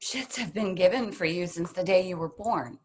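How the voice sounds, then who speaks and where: A woman's voice: on the low side, moderate pace, lightly acted. An actress in a play reading, playing a weary, fragile middle-aged mother who pleads. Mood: pleading, frustrated.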